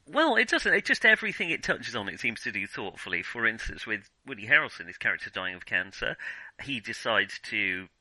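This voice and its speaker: A man in a casual film discussion, thinking aloud haltingly with many false starts. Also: fairly low pitch, very animated, pushed and bright, no echo, low-quality recording. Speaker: film podcast host.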